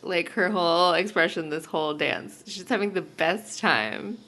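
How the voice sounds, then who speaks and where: A woman's voice: lowish voice, normal speed, sharp tone. A woman co-hosting a podcast, giving her opinions on a TV show in an analytical but casual way. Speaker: podcast co-host. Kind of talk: casual TV discussion.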